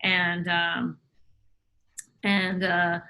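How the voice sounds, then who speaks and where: Comedian lady rambling thru stories, bright sharp voice, lotta "like"s. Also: lowish voice, monotone, drawn-out words, rough recording. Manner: rambling, loose storytelling with many fillers. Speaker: comedian guest. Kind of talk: casual interview chat.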